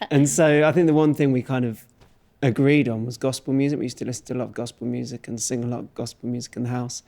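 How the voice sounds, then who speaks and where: A man's voice: mid-range voice, a little mellow. A man, a folk singer and guitarist, chatting casually in an interview in a hesitant, understated way. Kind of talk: relaxed interview chat.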